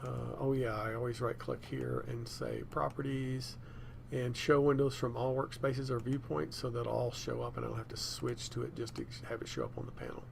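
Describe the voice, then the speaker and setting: A man presenting a software tutorial in a casual, unscripted way, thinking aloud as he goes. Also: medium pitch, level tone, moderate pace, vocal fry, slight background noise. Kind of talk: casual screencast demonstration.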